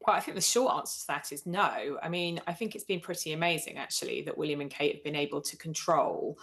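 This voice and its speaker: A British woman in middle age with a low-pitched voice. She speaks as a commentator on a live broadcast, laying out her points in a measured, explanatory way. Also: a bit bright. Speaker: royal commentator on a news programme.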